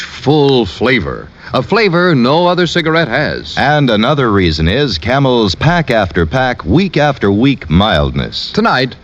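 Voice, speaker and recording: Male American announcer, vintage radio ad read, very lively intonation, dull broadcast sound. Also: medium pitch. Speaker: commercial announcer. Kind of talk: 1950s radio advertisement read. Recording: muffled old radio broadcast.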